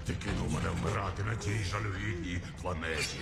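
A man's voice: low voice, fairly even intonation, fairly quick. A man dubbing a menacing giant robot tyrant in a film, stern and domineering. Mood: stern menace. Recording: loud film music and effects behind.

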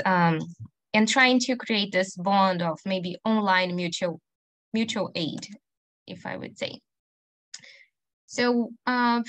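A woman's voice: mid-range voice, a bit bright, no echo. Woman presenting over a video call. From Brazil. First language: Portuguese. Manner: friendly, many filler words and restarts. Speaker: workshop presenter. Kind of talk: online conference presentation.

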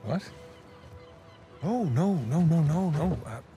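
A man's voice: a little high, lively intonation, slow pace, warm tone. A man playing an easygoing, wry middle-aged American adventurer in a naturalistic video game cutscene, bantering and sarcastic. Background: music.

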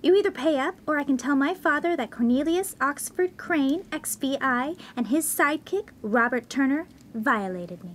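Woman playing brazen, high-voiced young schemer. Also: expressive, moderate pace, few pauses, slight background noise. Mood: brazen, demanding. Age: young adult. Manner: blunt, demanding. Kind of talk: 1950s-set comedy radio play acting.

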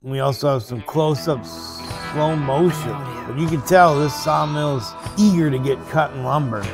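Man, 30s, chatty webcam narration, gravelly tone, guitar music in background. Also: fairly high pitch, a little slow. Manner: chatty, self-deprecating, rambling asides. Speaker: sawmill business owner and vlogger. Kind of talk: casual narration over footage. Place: small wood-paneled office.

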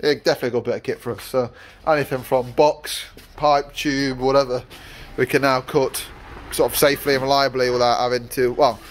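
A man's voice: medium pitch, level tone, a little fast, hardly any pauses, slight background noise. Rambling man in a workshop. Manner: rambling, many fillers and restarts. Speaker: vlogger, workshop owner. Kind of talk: casual vlog narration. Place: outdoor yard and workshop.

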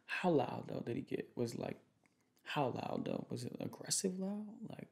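A young man speaking in a casual, slangy, halting way in an ASMR boyfriend roleplay. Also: mid-range voice, lively intonation, creaky, darkish tone. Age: young adult. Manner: slangy, laid-back, halting with repeated phrases. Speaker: ASMR creator playing a protective boyfriend. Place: small home room, indoors.

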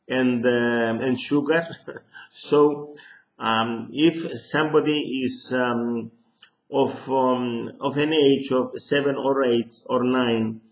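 An older man with a Greek accent explains things slowly, pausing often and restarting his phrases. His voice sits in an echoey small room, picked up by a close webcam. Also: mid-range voice. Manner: slow, hesitant, many ums and restarts. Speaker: harmonica teacher. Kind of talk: casual lesson to camera. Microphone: webcam at close range.

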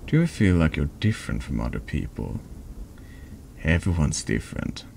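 A man reading a horror text adventure aloud in ASMR style, haltingly and stumbling over words, close to the mic over background noise. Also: rumbling low, big pitch swings, vocal fry, darkish tone.

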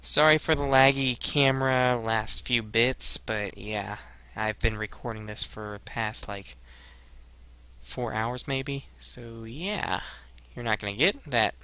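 A young man giving casual, halting gameplay commentary as a let's play host, rambling and muttering to himself into a headset. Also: medium pitch, sharp tone, clean tone. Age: young adult.

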